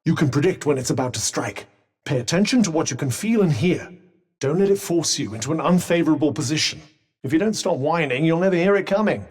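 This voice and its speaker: A sardonic talking magic cuff from a video game, with a British accent and a fairly high-pitched voice. It gives urgent combat advice in eloquent, dryly witty phrasing. The voice sits a little away from the mic. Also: male voice, lively intonation, quick pace, darkish tone, slightly rough, far from the mic. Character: talking magic cuff, dry witty male battle companion. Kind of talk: video game companion voice lines.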